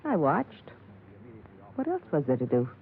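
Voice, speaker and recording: An actress playing a cool, evasive, wealthy widow in a 1950s radio drama, with an aloof, curt and impatient way of talking. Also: female voice, sits low, huge pitch swings, a little slow, narrow, tinny audio, heavily acted. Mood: cold, impatient. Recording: thin, band-limited old radio recording.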